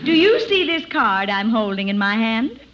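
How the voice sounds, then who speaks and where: Stern prim lady teacher in old radio comedy, swoopy bright scolding, bit muffled. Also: fairly high pitch, very animated, normal speed. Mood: prim, reproving. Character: stern, exasperated woman schoolteacher. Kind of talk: 1950s radio comedy acting. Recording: muffled vintage radio recording.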